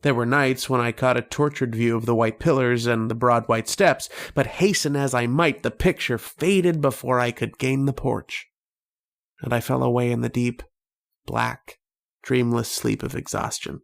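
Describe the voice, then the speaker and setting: A haunted man, played by an actor, narrates an old-time radio tale of obsession. His delivery is solemn, measured and literary, in a medium-pitched voice with a heavy creak. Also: fairly even intonation, close to an everyday voice. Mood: haunted, wistful. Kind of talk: dramatic first-person radio narration.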